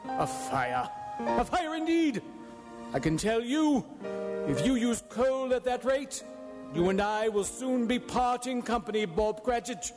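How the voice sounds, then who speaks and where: A man's voice: very high-pitched, sing-song, unhurried, over music, full character voice. An actor playing a miserly, bitter old businessman in a live radio drama, grumbling and sneering with emphatic scolding. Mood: scolding, angry. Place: performing arts center stage. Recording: echoey theater hall, live radio broadcast.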